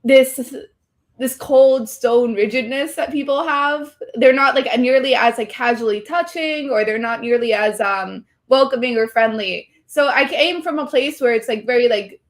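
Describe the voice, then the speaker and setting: A woman's voice: on the high side, moderate pace. A young woman from Puerto Rico, chatting as an interview guest and leaning on "like" as a filler. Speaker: guest, streamer. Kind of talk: casual interview chat.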